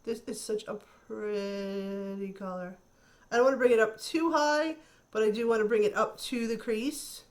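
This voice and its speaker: A woman's voice: fairly high pitch, normal speed. A woman chatting casually through a makeup tutorial, thinking aloud and admiring the colors, her voice a little nasal from a cold. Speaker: beauty vlogger.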